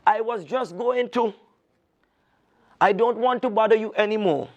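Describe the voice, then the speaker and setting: A man's voice: high voice, measured pace, lo-fi sound, voice pushed up. A man voicing a clever, nervous male trickster from a folktale, polite and eager to please. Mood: trembling politeness.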